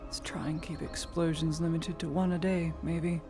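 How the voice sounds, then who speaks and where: A man's voice: a little high, flat delivery, normal speed, lightly acted. A man playing a weary woman clinic worker in a roleplay game, sighing often and mildly exasperated. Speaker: streamer playing a roleplay character. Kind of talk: roleplay chat in a social VR game. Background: music.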